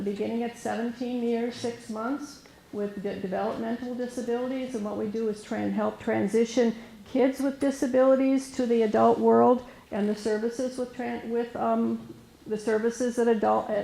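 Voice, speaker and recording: A woman with a soft voice giving an informal presentation as a resource specialist, hesitant, with many fillers and restarts. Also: on the high side, creaky, slight background noise. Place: meeting room with an audience. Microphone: room microphone recorded for camera. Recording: roomy echo.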